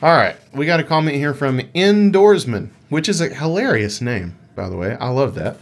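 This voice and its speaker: A man's voice: mid-range voice, very animated, normal speed. A man co-hosting a gaming podcast, chatting casually in a playful, rambling, explanatory way. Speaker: podcast co-host.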